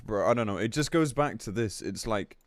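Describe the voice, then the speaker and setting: A man with a fairly low voice talks as a live streamer. His delivery is casual and blunt, with an exasperated edge and loose swearing. The sound is close and dry, with very little room in it. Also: lively intonation, moderate pace, no room sound. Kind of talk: casual live-stream commentary with read-aloud announcement.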